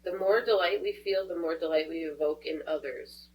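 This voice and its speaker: A woman's voice: low-pitched, normal speed, darkish tone. A woman doing a casual tarot reading, stuffed up from a cold, chatty and thinking aloud as she goes. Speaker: tarot reader. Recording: voice a bit distant from the mic, faint hum.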